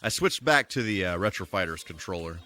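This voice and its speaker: A man's voice: deep voice, lively intonation, a little fast, pushed and bright. A man live-streaming, talking in a casual, rambling and conversational way. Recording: music playing behind the voice. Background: music.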